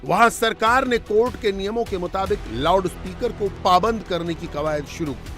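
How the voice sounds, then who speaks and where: A man's voice: sits high, normal speed, fairly bright tone, faint music behind. A man reading a scripted television news report as its narrator.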